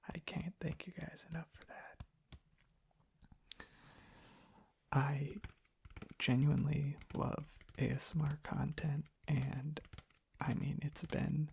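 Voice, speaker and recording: A young man rambles in a soft ASMR style, very slowly and with heavy vocal fry. He often slips toward a whisper and halts mid-sentence. He is close to the microphone, with soft tapping and clicking behind him. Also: a little high. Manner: hushed, halting, pausing mid-sentence. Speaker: ASMR content creator.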